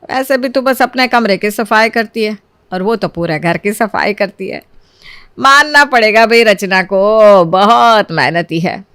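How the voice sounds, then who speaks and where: A woman's voice: mid-range voice, animated, unhurried, fairly bright tone, clean tone, dry recording. A woman voicing a sly, scolding elderly mother-in-law in a cartoon story, with sarcastic, theatrical needling. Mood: needling, falsely sweet.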